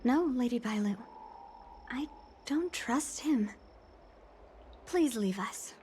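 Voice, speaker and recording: High-voiced, guarded young female attendant. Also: lively intonation, slow pace, mellow, a little hiss or hum. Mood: wary. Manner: chiding, distrustful, formal. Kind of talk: anime game voice acting.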